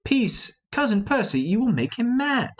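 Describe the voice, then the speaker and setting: A man playing a reasonable, peacemaking noble conspirator in a Shakespearean play reading, measured and formal. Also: very high-pitched, big pitch swings, dull audio, pitched above the performer's own voice, heavily acted. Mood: placating.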